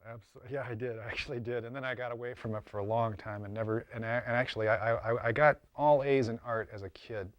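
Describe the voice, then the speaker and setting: An older man explaining his craft in a casual interview, haltingly and often repeating words. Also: medium pitch, steady tone, no room sound, highs cut off. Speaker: interviewed prop and mask maker.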